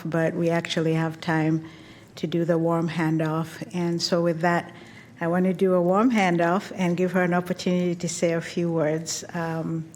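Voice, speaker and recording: Sincere woman, low and even. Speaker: outgoing agency director. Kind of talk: remarks at a public meeting.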